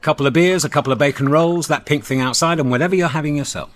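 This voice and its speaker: A man in a radio comedy drama playing a cocky, impulsive galactic travel writer, breezy, slangy and excitable. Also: a little high, fast, close to an everyday voice.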